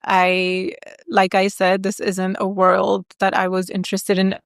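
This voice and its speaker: A young woman guesting on a podcast, telling candid stories in a lively, chatty way, full of "like" and "um". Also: mid-range voice, a little slow, gravelly, clear, resonant tone, no room sound, studio quality. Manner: candid, animated storyteller, lots of "like" and "um". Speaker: guest, agency founder. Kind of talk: casual interview chat.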